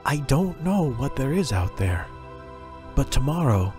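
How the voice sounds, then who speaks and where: A man's voice: medium pitch, big pitch swings, a little slow, over music, pitched above the performer's own voice. A man voicing an earnest, thoughtful poor boy who dreams of the sea. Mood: wistful, hopeful.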